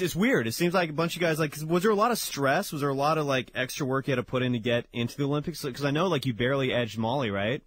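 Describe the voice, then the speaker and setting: A young man hosts a relaxed interview, asking long, rambling questions in easy slang. His voice sits at a middle pitch and sounds dry and close. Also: dry recording. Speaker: interview host. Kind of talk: casual interview.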